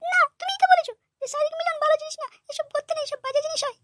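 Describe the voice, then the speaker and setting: A tiny, squeaky-high young wife. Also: female voice, racing, a little hiss or hum. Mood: plaintive. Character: distressed, naive young married daughter, complaining. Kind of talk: cartoon comedy dialogue.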